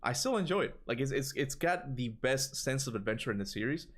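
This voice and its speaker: Man, Cuban accent, casual streamer talk, rambling and lively, mid pitch. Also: a little fast. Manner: rambling, animated, swears casually. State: tired voice from long talking. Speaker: gaming streamer answering chat. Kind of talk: casual livestream chat.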